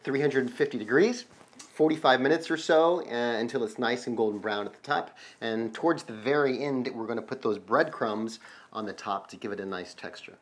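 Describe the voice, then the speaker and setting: A man's voice: mid-range voice, moderate pace. A man co-hosting a home cooking show, joking and teasing while he explains the steps. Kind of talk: casual cooking show banter. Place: home kitchen.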